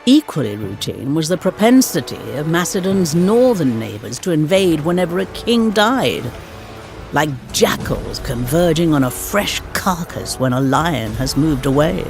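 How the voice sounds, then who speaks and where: A woman narrating history for a game cutscene in a theatrical, measured storytelling manner. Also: low-pitched, very animated. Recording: music behind the narration.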